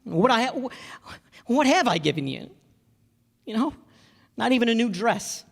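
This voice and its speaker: A man's voice: very high-pitched, sing-song, vocal fry, a bit bright, husky, full character voice. Man playing an earnest, stammering young husband. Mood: dejected. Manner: stammering, halting, folksy. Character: earnest young husband, flustered, self-doubting, principled. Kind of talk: radio play acting. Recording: echoey hall, stage reverb.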